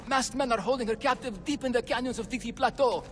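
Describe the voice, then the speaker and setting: A man's voice: fast talker, a bit bright, in a large hall, slight background noise. An actor playing a cowardly man who pretends to be a roaring Minotaur, then drops it and pleads meekly, stammering. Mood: pleading. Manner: booming fake threats, then meek and stammering.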